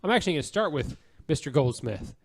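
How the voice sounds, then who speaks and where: A man's voice: a little high, animated, quick pace, creaky, husky. A man co-hosting a podcast in casual, conversational talk. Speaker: podcast host.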